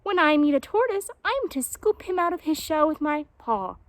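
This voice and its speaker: Woman playing a high-pitched, muddled young male jaguar. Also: sing-song, normal speed, dry recording. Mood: earnest, self-important.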